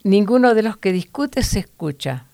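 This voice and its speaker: A woman's voice: medium pitch, lively intonation, normal speed, gravelly, mellow, no room sound. A woman teaching from the Bible to other women in an earnest, preachy way, with fillers and restarts.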